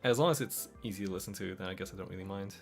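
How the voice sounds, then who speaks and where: Young male streamer, low-pitched with vocal fry, mixed Aussie-American accent, laid-back chatter over soft music. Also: very fast. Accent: mixed Australian and American. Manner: relaxed, laughs often, trails off softly. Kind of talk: casual late-night chat reading comments. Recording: faint background music.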